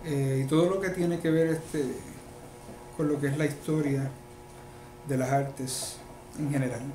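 A middle-aged Puerto Rican-accented man talks casually in an interview, repeating words as he goes. His voice sits a little high, recorded in an echoey space with faint noise. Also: fairly even intonation, measured pace. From Puerto Rico and the mainland United States. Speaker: interview guest, luthier and musician.

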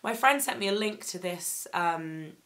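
A young woman with a fairly low voice, still a little croaky from losing it to illness. She chats eagerly and casually through a fashion haul, filling the gaps with ums and likes. She is recorded on a camera mic in her bedroom. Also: animated, measured pace, a bit bright. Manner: chatty, enthusiastic, many ums and likes. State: slightly croaky after laryngitis. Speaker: fashion vlogger. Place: bedroom, indoors.

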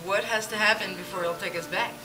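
A woman's voice: mid-range voice, steady tone, fairly quick, lightly acted. A woman in an amateur church stage play, playing a doubtful dinner guest who keeps questioning what she hears. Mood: skeptical. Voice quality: skeptical, questioning. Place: church hall stage, indoors. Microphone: far camera. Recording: distant camera with music behind.

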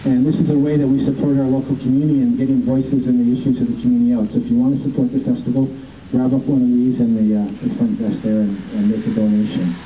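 A man's voice: mid-range voice, slight background noise. A middle-aged man giving a casual, rambling welcome outdoors on a city street, speaking into a handheld microphone. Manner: relaxed, rambling, many ums and restarts. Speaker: festival producer welcoming a walking tour. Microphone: handheld microphone heard from a distance. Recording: roomy, distant, dull phone-camera sound.

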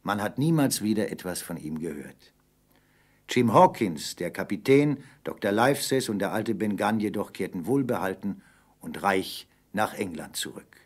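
A man's voice: on the low side, lively intonation, moderate pace. A man narrating an adventure audio play as a scripted, dramatic storyteller.

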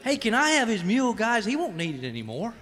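Guileless, excitable cowboy, piercingly high and swooping, for a radio serial parody. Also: male voice, fast, slight background noise, heavily acted. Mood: dim, curious. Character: naive, excitable singing cowboy. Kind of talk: parody of old-time radio serial acting.

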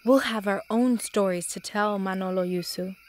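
A woman's voice: medium pitch, moderate pace, lightly acted. A woman playing a wistful, loving young sister in an audio drama, tender and slipping into Spanish. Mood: fond.

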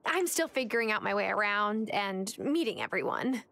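A woman's voice: mid-range voice, animated, moderate pace, fairly bright tone, no room sound. An actress playing an eager, slightly unsure young woman new to town in a video game. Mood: sheepish. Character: eager young newcomer woman, a novice farmer. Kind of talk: video game character dialogue.

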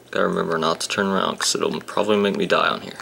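A young man commentating a handheld game, casual and grumbling, his voice a bit rough today. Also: deep voice, sharp tone. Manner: grumbling, sarcastic about the game. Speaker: gameplay commentator. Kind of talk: casual let's-play commentary. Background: game music.

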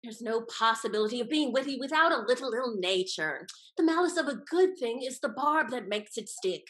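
A woman acts a haughty lady schemer from a period comedy. Her voice sits high and swoops widely. She speaks with arch, theatrical relish, drawing out her emphasis. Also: animated, brisk, a bit bright. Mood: knowing. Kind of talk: period stage comedy acting. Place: indoor room, performing on video call. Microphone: video call.

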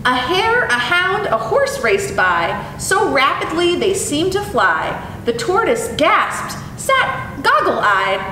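A young woman presenting as a zoo educator and reading to children in an expressive, animated way. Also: takes it slowly, few pauses. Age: young adult. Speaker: zoo educator and storytime host. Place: indoor room with painted animal mural. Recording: echoey, distant mic with clear rumbling noise.

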